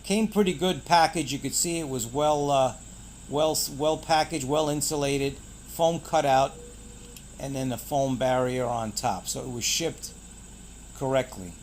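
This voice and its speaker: A man's voice: mid-range voice, a little slow, a little hiss or hum. A man hosting a casual product unboxing, pointing things out in short, clipped phrases. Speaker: product reviewer and channel host.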